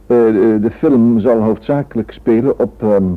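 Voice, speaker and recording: A man's voice: medium pitch, steady tone, normal speed, lightly acted. A male actor playing an earnest, curious writer husband in a radio drama, with a hesitant, questioning manner. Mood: eager. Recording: old narrow-band radio recording.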